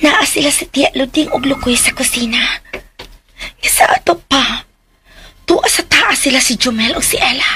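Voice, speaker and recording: A woman's voice: high-pitched, moderate pace, sharp tone. A woman playing an anxious woman sneaking through a house in a radio drama.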